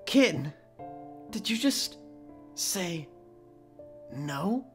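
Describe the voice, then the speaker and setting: A man's voice: sits high, very animated, extremely slow, fairly bright tone, soft background music, full character voice. A man playing an earnest, melodramatic, lovesick young man in a comedic roleplay skit. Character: lovesick, clingy young man pining over online girlfriend.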